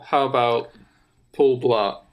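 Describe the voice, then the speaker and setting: A man co-hosting a podcast on a video call, making up ideas aloud in casual, halting phrases. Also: medium pitch, steady tone, a crowd in the background. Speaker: podcast co-host. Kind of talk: casual improvised chat.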